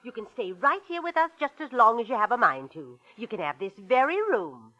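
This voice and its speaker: Bright-voiced motherly country wife. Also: on the high side, animated, fairly quick. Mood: welcoming. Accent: rural American. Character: hospitable, motherly small-town country wife. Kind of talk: 1940s radio drama acting. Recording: dull old radio recording.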